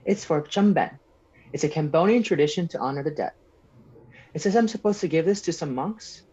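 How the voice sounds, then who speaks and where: A woman's voice: low-pitched, lively intonation, a little fast, warm tone, slightly rough, muffled recording. A woman playing an eccentric, earnest ten-year-old boy who is wise beyond his years, in a comedy script read. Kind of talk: table read of a comedy pilot.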